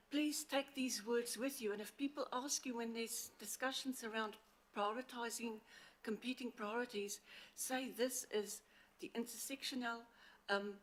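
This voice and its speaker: A woman with an accent from outside the city presents to a council committee on a handheld mic, earnest and halting with frequent fillers. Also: on the high side. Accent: non-local accent. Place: council meeting chamber. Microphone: handheld microphone.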